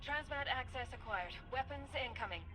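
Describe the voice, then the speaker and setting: An actress playing a brisk, capable female mission operator giving businesslike instructions in a video game. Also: high-pitched, lively intonation, background noise. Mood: urgent, commanding. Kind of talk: game mission briefing acting.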